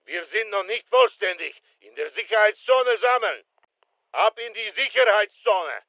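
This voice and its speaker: A man's voice: high voice, lively intonation, a little slow, dry recording, phone-quality sound. An actor playing a terse, disciplined soldier who reports to his squad in short clipped phrases in a video game. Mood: businesslike. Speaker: military game character. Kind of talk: video game combat callouts.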